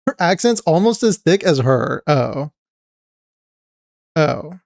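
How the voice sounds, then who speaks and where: A man streaming a live reaction to a game trailer, excited and chatty with many restarts. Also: on the high side, takes it slowly, vocal fry, no room sound, studio quality.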